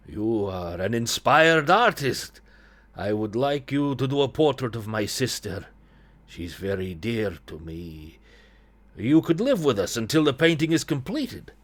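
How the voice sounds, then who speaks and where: A man's voice: mid-range voice, very animated, unhurried, a bit bright. A man voicing a sinister, courteous brother with a vague Eastern European accent, formal and insistent in manner, from a gothic story. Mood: commanding, welcoming.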